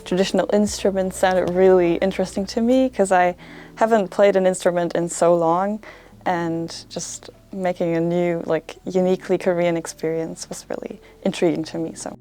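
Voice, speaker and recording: A woman's voice: on the low side, steady tone, normal speed, mellow. A woman in her twenties, a language student, answering interview questions in a casual, hesitant way full of fillers. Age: 20s.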